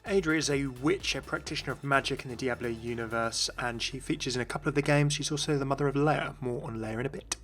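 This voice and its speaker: Man, video host, bright crisp voice, scripted explainer narration, music underneath. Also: medium pitch, fast talker, hardly any pauses.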